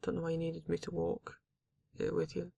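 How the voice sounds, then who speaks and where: A performer voicing a grumpy, reluctant werewolf in an ASMR roleplay, exasperated and halting, with a husky, gravelly voice. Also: mid-range voice, completely flat delivery, warm tone, no room sound. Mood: reluctant, weary. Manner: exasperated, sighing, swearing, halting delivery. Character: grumpy, reluctant werewolf, guarded but not cruel. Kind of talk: ASMR roleplay audio drama, intimate monologue.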